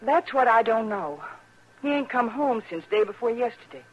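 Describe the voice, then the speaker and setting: An actress playing a worried, stoic ranch wife with a rural western American accent, plainspoken and halting, in 1950s radio drama style. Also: female voice, a little high, expressive, slight background noise, lightly acted. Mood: worried, hesitant. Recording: muffled vintage radio recording.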